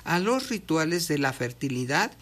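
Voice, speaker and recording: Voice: mid-range voice, very animated, normal speed, fairly bright tone. A speaker reads from a text and explains it patiently, like a teacher. They often stop to check that the listener is following. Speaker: guest explaining traditional midwifery. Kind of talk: conversational explanation, reading from a text and commenting.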